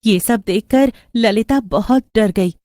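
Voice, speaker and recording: A woman's voice: a little high, takes it slowly, dark tone, dry recording, studio quality. A woman narrator reading a scripted horror story.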